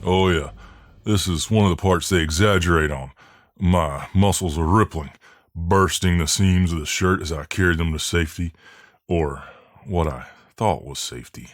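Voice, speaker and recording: Man playing a deep-voiced Southern buff mailman. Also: moderate pace, dry recording, clean studio sound, close to an everyday voice. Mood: boastful. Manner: folksy, soothing drawl. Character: buff friendly Southern mailman, protective and cocky, gentle rescuer. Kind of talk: ASMR role-play acting.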